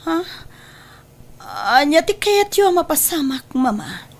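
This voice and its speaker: Slow-speaking young Ilocano woman in love acted. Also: extremely high pitch, big pitch swings, full character voice. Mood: upset. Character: young woman in love, pleading and stubborn daughter. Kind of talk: dramatized Ilocano radio-style story acting.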